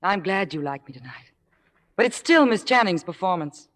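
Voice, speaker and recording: An actress playing a young, scheming stage understudy with a modest, careful manner in an old-time radio drama. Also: female voice, lowish voice, expressive, moderate pace, natural voice. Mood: demure, coy. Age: young adult. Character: demure, falsely humble, scheming young actress. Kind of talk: 1950s radio drama acting.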